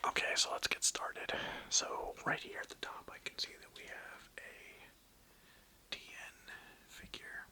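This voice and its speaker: An ASMR host speaks in a breathy whisper with almost no voicing. The sound is very bright and sibilant. The delivery is a casual, hesitant ramble, like showing things to viewers at home. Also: measured pace. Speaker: video host presenting a toy collection.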